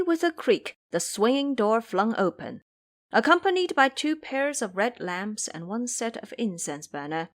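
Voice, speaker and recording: A woman narrator telling a story in a dramatic storybook style for an audio drama. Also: medium pitch.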